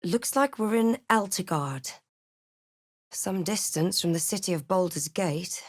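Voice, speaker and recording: A woman playing a snarky, brave adventurer spellcaster in a fantasy video game, speaking in a theatrical, wry and deliberate way. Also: on the low side, lively intonation, no echo, clean studio sound. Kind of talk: video game voice lines, dramatic narration and spell chants.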